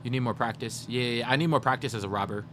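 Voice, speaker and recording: A young man talking to his live chat in a loose, rambling way, working out joke ideas as he goes. His voice sits in a middle register. A steady hum runs under the recording. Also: medium pitch, racing. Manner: rambling, brainstorming jokes aloud, talking to chat. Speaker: livestreamer. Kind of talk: casual chat with live viewers.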